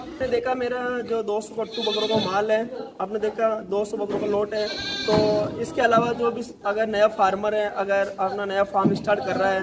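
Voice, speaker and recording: A man's voice: sits high, noisy background. A young man from Rajasthan giving a chatty, repetitive sales walkthrough of his goat farm, recorded on a phone outdoors. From Rajasthan, India. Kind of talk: casual walkthrough sales pitch. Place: outdoor goat pens and sandy shed. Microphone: phone camera held close. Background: bleating goats.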